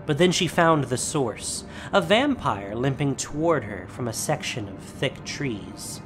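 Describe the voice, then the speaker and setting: A man giving steady, solemn scripted narration of a fantasy story. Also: medium pitch, unhurried, music in the background.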